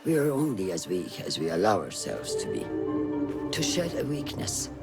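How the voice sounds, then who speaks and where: An actor playing a stern, wise mentor of a hidden assassin order in a video game, speaking gravely, formally and deliberately. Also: male voice, low voice, wildly swooping pitch, loud music behind the voice, full character voice. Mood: firm counsel. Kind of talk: dramatic video game acting.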